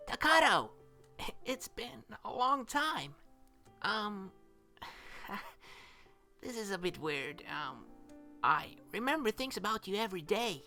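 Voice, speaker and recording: A woman's voice: a little high, sharp tone, heavily acted. A woman playing an earnest, hesitant young boy in an audio drama, speaking a heartfelt message to a companion he misses. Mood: wistful, tender. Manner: hesitant, earnest, conversational with small nervous laughs.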